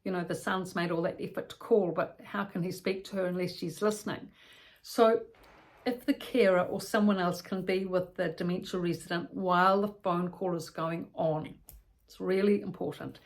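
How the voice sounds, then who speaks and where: Woman, lowish pitch, instructional talk, care educator, step-by-step explanation, repeats points, practical examples. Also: fairly even intonation, normal speed.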